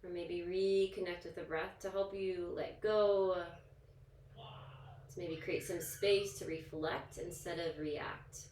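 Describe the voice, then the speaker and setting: A woman yoga instructor leading a guided relaxation in a soothing, gentle, informal manner, leaving pauses between instructions. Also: lowish voice, fairly even intonation, a little hiss or hum. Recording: echoey, roomy sound.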